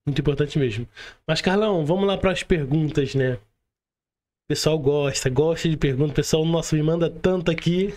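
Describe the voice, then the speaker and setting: A man's voice: fairly high pitch, normal speed, a little mellow. A Brazilian man chatting casually as a podcast host, informal and slangy, repeating short phrases. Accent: Brazilian Portuguese. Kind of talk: casual chat.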